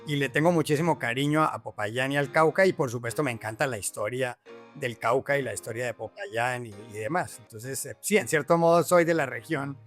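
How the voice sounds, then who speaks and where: A man's voice: medium pitch, a little fast, faint music behind. A man from Colombia, a journalist and author, answering as a podcast guest with fillers and repeated words. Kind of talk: casual podcast interview.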